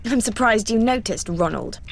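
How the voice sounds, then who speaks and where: A woman's voice: a little high, sing-song, normal speed, vocal fry, slight background noise. A woman playing a bossy, prim, brainy teenage schoolgirl with an English accent in a video game cutscene. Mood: arch.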